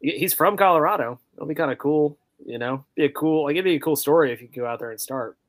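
A man's voice: fairly high pitch, quick pace. An American man co-hosting a soccer podcast, chatting informally as he gives his take on matches. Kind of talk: casual chat, match analysis.

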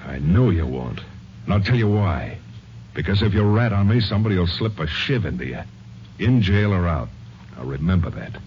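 An actor playing a menacing, sarcastic convict killer with tough gangster slang in a 1950s radio crime drama. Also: male voice, low-pitched, very animated, moderate pace. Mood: threatening, scornful. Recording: muffled, noisy vintage radio recording.

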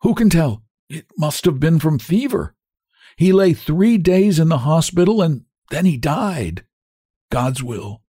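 A man voicing a humble, grieving old sledge driver from a storybook, halting and meek, with a husky, strained voice. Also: high-pitched, expressive, darkish tone, no echo, studio quality, pitched above the performer's own voice, exaggerated voice. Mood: sorrowful, meek.

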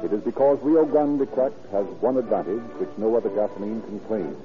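A man's voice: lowish voice, fairly quick, music in the background. A man announcing and narrating in a polished, formal 1930s radio style from a script. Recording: muffled vintage radio recording.

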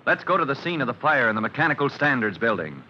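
A male radio narrator with a medium-pitched voice. He declaims dramatically in the style of a 1940s serial. The old recording is dull and noisy. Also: very animated, fast talker. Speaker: announcer and narrator.

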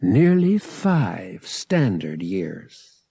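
A man voicing an alien priest who guides pilgrims, speaking in a formal, measured, explanatory way. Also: low voice, very animated, creaky, dark tone, breathy edge, dry recording, clean studio sound, exaggerated voice. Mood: formal, proud.

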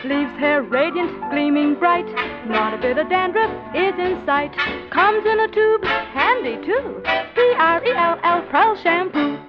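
Old radio jingle lady singing, super high, loud music, muffled. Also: lively intonation, measured pace.

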